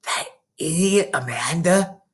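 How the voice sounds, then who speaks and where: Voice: mid-range voice, animated, takes it slowly, voice pushed up. A reader voicing a knowing, scornful schoolgirl who gossips in a conspiratorial way in a children's storybook. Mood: knowing, gossipy.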